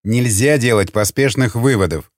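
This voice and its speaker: A man's voice: mid-range voice, normal speed, no room sound, studio quality, lightly acted. A man voicing a sardonic, needling councilman in an audiobook, with a mocking and theatrical manner. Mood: cautioning. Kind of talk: audiobook character acting.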